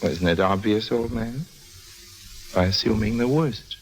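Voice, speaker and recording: An actor playing a wry, cheeky small-time crook turned investigator in a 1950s radio drama, slangy and nonchalant. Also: male voice, lowish voice, measured pace. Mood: sardonic.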